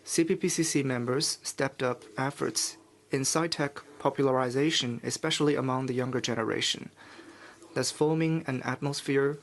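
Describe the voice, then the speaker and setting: A man works as a live interpreter at a formal press conference. He delivers official statements in measured, halting chunks at a medium pitch.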